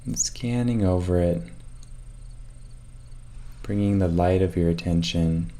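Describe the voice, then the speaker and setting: A man leading a guided meditation, giving calm, measured instructions with long pauses between them. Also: low-pitched.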